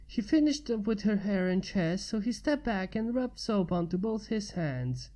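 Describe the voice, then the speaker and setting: Mellow-voiced woman reading a story. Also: mid-range voice, animated, normal speed. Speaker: fan fiction reader. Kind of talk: scripted story narration, reading aloud.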